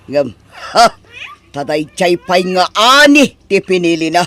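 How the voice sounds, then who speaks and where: A woman with an Ilocano accent playing a sharp-tongued, disapproving middle-aged mother-in-law in an audio drama, scolding and emphatic. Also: fairly low pitch, big pitch swings, unhurried.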